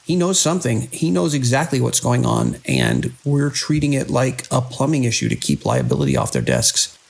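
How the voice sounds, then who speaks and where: Man acting a weary hospital director, husky gravelly voice, grave deliberate delivery, horror audio drama log. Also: medium pitch, wildly swooping pitch, heavily acted. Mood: angry, fed up. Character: weary, alarmed hospital director recording logs during an outbreak. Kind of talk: audio drama monologue, spoken log entries.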